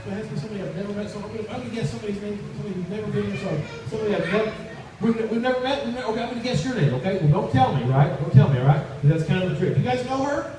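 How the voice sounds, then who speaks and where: High-voiced man in a very echoey room. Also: very fast. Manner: repeats phrases, teasing build-ups to the audience. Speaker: children's puppet show magician and host. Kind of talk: live children's magic show patter. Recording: echoey, noisy, distant live recording.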